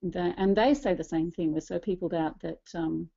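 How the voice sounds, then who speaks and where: Woman, late 40s, soft dark tone, earnest personal talk, slightly muffled recording. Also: on the low side, fairly even intonation, normal speed, vocal fry. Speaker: church ministry leader speaking as co-presenter.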